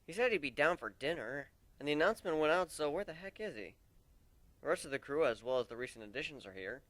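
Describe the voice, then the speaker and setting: A man's voice: a little high, animated, quick pace, a bit bright, no room sound, pitched above the performer's own voice. A man voicing a brooding, sardonic male griffin captain in an earnest, theatrical dramatic reading. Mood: puzzled. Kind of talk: dramatic reading of fan fiction.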